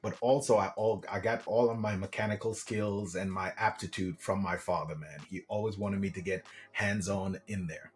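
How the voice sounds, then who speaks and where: A middle-aged man from Trinidad and Tobago, a guest telling his life story in a friendly, animated way and often saying "man". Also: low-pitched, normal speed, hardly any pauses. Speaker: guest, government contracting coach. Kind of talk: casual interview storytelling.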